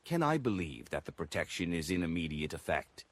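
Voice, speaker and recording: A man performs a chubby, anxious apothecary in an audiobook. He is hesitant and tight-fisted, with a husky voice and lively swings in pitch. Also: fairly quick, no room sound. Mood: uneasy, bargaining. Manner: hesitant, unsure.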